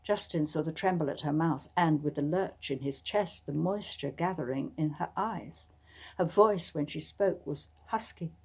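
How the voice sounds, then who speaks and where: Woman, low voice, author reading, measured, deliberate, frequent pauses. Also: slightly rough. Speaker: author reading from own novel. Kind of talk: audiobook reading and informal introduction.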